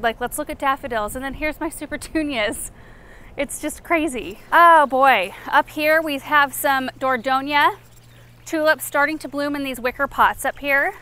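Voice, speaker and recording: A woman in her thirties talks enthusiastically as she walks a garden tour outdoors. Her voice is bright and smooth, a touch higher than average. She sounds relaxed and conversational, pointing things out as she goes. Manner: chatty and enthusiastic, walking and pointing at plants. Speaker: garden show host. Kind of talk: casual garden tour vlog.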